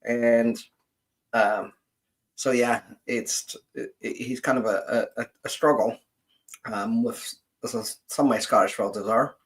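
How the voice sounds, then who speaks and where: A middle-aged man hosting a casual livestream as a genealogist, rambling and thinking aloud in a halting way. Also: mid-range voice, steady tone, breathy edge.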